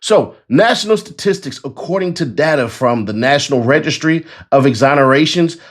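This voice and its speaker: A man's voice: on the high side, lively intonation, moderate pace. A man hosting a commentary video, talking casually and reading out information to his viewers. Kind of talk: casual commentary, reading out figures and sponsor copy.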